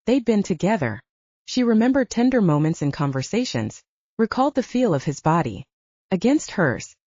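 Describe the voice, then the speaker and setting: A woman's voice: mid-range voice, very animated, normal speed, warm tone, no room sound. A woman narrating fiction as a scripted, dramatic audiobook reading. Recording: slightly dull, dry close recording.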